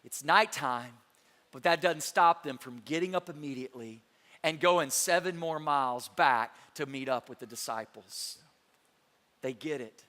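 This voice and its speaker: A pastor preaching an Easter sermon, energetic and full of rhetorical questions that call the listeners to respond. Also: male voice, fairly high pitch, measured pace. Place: large church auditorium. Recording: reverberant hall with faint music.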